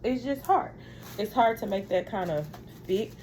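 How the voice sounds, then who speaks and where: A woman's voice: medium pitch, animated, measured pace, darkish tone. A woman in her thirties chatting casually to her vlog viewers in a rambling, confiding way, calling them y'all. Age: 30s. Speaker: vlogger. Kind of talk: casual chat to camera. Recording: faint background noise.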